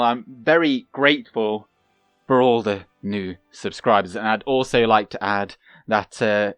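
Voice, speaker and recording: A young man giving calm, casual commentary over his own gameplay, soft-spoken and pausing often with fillers. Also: mid-range voice, clean tone, no echo. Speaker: gaming channel host.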